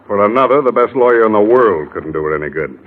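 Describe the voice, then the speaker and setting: A man playing a gruff, needling, sarcastic police lieutenant in a 1950s radio drama. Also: fairly low pitch, animated, quick pace, lightly acted. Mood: badgering. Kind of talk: 1950s radio detective acting. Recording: dull, noisy old radio recording.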